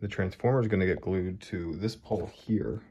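A man with a deep, low voice talks through his model train scenery step by step. His manner is easygoing, and he thinks aloud as he works. He is recorded on a phone camera in his hobby room. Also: normal speed, slight background noise. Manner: relaxed, thinking aloud while working. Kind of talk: casual how-to narration.

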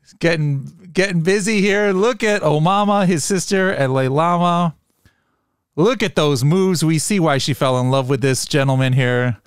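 A man's voice: fairly high pitch, moderate pace, smooth, no room sound. A man hosting a podcast, chatting casually and joking with his guests from a studio mic. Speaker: podcast host. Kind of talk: casual interview chat. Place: home studio with headset and boom mic. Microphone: studio microphone on boom arm, headphones.

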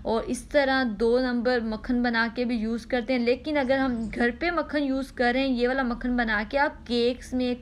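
A high-pitched woman walks viewers through a home recipe, unhurried and repetitive, with small "ah" pauses. A faint noise floor sits under her voice. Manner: patient, repeats instructions, frequent "ah" pauses. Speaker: cooking channel host. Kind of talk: step-by-step cooking demonstration.

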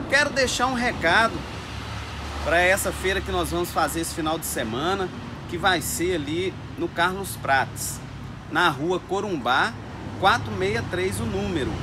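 A man's voice: fairly high pitch, background noise. A man, an orchid grower talking casually to the camera inside a greenhouse and often turning to address his viewers. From Brazil. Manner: chatty, keeps addressing viewers as "gente". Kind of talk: casual talk to camera with growing tips. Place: indoors in a greenhouse full of orchids.

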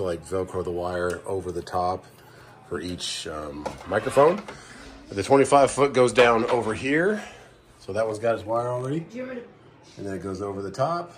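A man in his thirties chatting casually with his family while filming a vlog, playful and lively. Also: on the low side. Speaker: vlogger, trucker dad. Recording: noisy camera audio.

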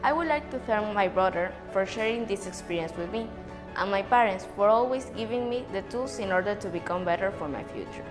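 A woman's voice: mid-range voice, expressive, brisk, music in the background, rough recording. A young woman from Ecuador giving an earnest, recited student testimonial. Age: young adult. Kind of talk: prepared testimonial. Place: bright indoor studio backdrop.

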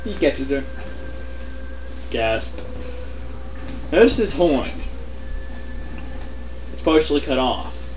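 A man's voice: mid-range voice, sing-song, slow pace, long pauses, a little hiss or hum. A man giving casual, wisecracking gameplay commentary, explaining things to his co-player as he goes. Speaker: let's play co-commentator. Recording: distant mic with game music behind. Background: video game music and sound.

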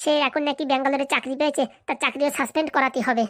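A woman's voice: high voice, fairly even intonation, very fast, fairly bright tone, dry recording, clean studio sound. A woman voicing a blunt, scheming, commanding village madam with a rural Bengali accent in a comedy cartoon. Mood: scheming, demanding. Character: bossy scheming village madam hiring a corrupt policeman. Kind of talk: comic cartoon dialogue.

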